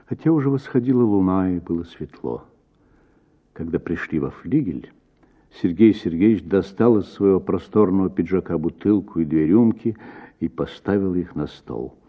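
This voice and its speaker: A man reading a literary short story as an actor, with expressive, theatrical phrasing. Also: low voice, moderate pace, breathy edge, highs cut off. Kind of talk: expressive literary audiobook narration.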